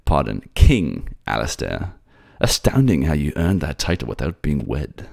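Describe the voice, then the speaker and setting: A man's voice: very deep voice, huge pitch swings, unhurried, vocal fry, a little mellow, heavily acted. A man voicing a suave, teasing prince of the sea people in an audio drama, courtly and sardonic with old-fashioned turns of phrase. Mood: dry, mocking.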